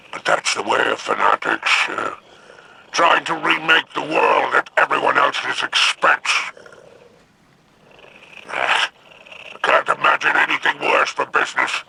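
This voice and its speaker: An actor playing a sly, greedy alien crime boss in a video game, gravelly and smug, with a drawl. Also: male voice, mid-range voice, bright, forceful tone, slight background noise, full character voice, poor audio. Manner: slow, smug, drawling with pauses. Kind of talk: video game character dialogue.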